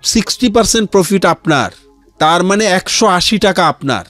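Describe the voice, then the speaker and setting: A Bangladeshi man with a fairly high voice speaks in an earnest, explanatory way, as a guest telling his story on radio. His delivery swings widely in pitch and slips into English phrases, with music behind him. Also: expressive, normal speed, fairly bright tone. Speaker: interview guest, founder of a charity resale platform. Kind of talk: conversational storytelling in a radio interview. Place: radio studio.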